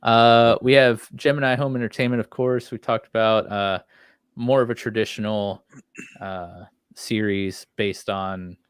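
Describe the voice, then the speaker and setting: A man's voice: lowish voice, steady tone, a little slow, clean tone, dry recording, studio quality. A man co-hosting a podcast, chatting casually and enthusiastically about horror series in an informal way. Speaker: podcast co-host.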